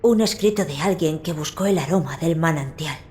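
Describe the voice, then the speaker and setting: A woman plays a young noble lord in a video game, a boy with a calm, solemn way of speaking. The accent is Castilian Spanish and the pitch is on the low side for a female voice. Light noise sits behind the words. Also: close to an everyday voice. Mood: thoughtful. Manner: calm, measured, thoughtful.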